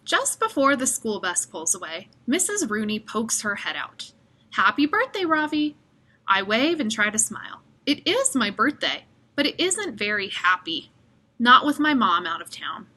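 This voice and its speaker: A woman reading a children's story aloud with expressive delivery. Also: medium pitch, normal speed, breathy edge. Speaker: storybook reader.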